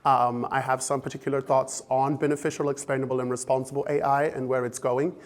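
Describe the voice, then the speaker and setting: An American man with a slight German-influenced accent, giving an impromptu talk to an audience, halting, with many fillers and restarts. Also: medium pitch, little pitch movement. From United States. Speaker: speaker at a fellowship event.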